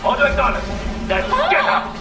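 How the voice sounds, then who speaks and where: A man's voice: very high voice, huge pitch swings, normal speed, noisy background, heavily acted. A man playing an alarmed military facility guard in a game, barking clipped orders and reports. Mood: panicked, barking. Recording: distant, echoey, loud game music.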